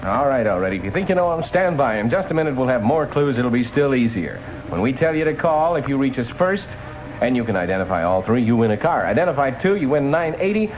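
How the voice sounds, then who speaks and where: A man's voice: medium pitch, sing-song, fast, a little hiss or hum, rough recording. A male disc jockey delivering folksy, joking Top 40 patter on a vintage radio broadcast. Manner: wisecracking, folksy, joking asides. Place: radio studio.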